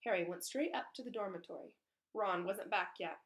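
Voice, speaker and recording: A woman's voice: medium pitch, brisk, a bit bright. A woman reading a children's storybook aloud expressively, in the way of a teacher.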